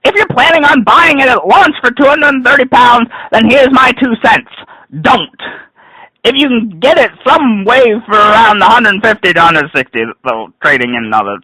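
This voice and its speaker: Theatrical man, high-pitched and husky. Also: expressive, moderate pace, dry recording, poor audio. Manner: theatrical, overwrought delivery swinging from hushed to shouted. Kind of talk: over-dramatic reading of an online rant.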